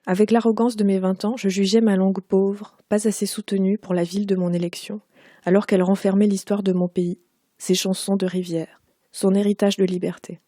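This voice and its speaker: Warm-voiced woman reading her prose. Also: mid-range voice, little pitch movement, no room sound, studio quality. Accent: Parisian French. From Quebec. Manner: measured, unhurried literary reading. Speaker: author. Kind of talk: reading aloud from her own book.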